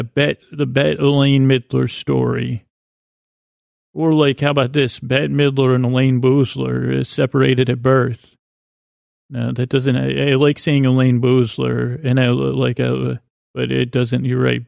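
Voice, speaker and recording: A man hosting a sleep podcast with a meandering, halting, whimsical bedtime monologue in a gravelly, creaky voice. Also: medium pitch, flat delivery, a little mellow, no room sound, studio quality. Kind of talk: rambling improvised bedtime monologue.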